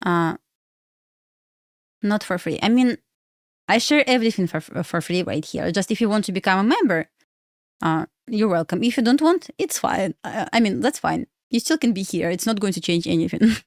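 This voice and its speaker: A woman's voice: medium pitch, expressive, normal speed, dry recording, studio quality. Chatty Belarus-born woman trading live. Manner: chatty, addresses viewers as guys, frequent uh fillers, non-native phrasing. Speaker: livestream host and day trader. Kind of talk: casual livestream chat with viewers.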